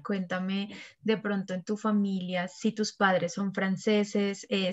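A young woman, a Spanish teacher, asking her student clear and encouraging interview questions over a video call. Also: medium pitch. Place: room at home. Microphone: laptop webcam on a video call. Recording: dull lo-fi video call audio.

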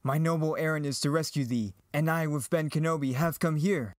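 An earnest young hero, played by a man declaims in verse. The voice is fairly high for a man and recorded quite dry. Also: brisk, dry recording, natural voice. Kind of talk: amateur dramatic reading in Shakespearean verse.

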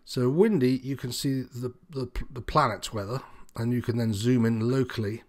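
A man's voice: medium pitch, fairly even intonation, moderate pace, darkish tone. A man giving an informal, unscripted walkthrough as an instructor, explaining things in a slightly hesitant, meandering way. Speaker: sailing instructor presenting tutorial.

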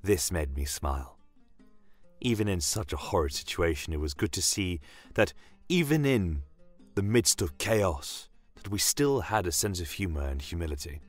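A man narrating a fantasy story in the first person, in a measured, solemn and dramatic way. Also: low-pitched, big pitch swings, faint music behind.

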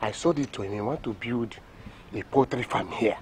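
A man's voice: high-pitched, big pitch swings, moderate pace, a bit bright, slight background noise, heavily acted. An actor with a Nigerian accent playing a defiant, scheming man in a film drama, speaking emphatically and repeating himself. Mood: placating, hushed. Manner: emphatic, repetitive. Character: defiant, scheming man, self-styled progressive, quick to swear oaths.

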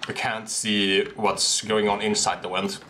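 A young man in a headset commenting casually on a game he is playing, excitable and slangy, laughing often. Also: lowish voice, a little slow, background noise. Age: young adult. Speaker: gaming streamer. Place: small room at a desk.

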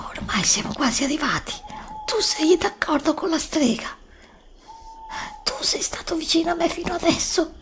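A middle-aged woman whispering an ASMR roleplay, pleading and anxious, recorded indoors on a desk studio mic. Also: high-pitched. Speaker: ASMR creator. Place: indoor room before a green screen.

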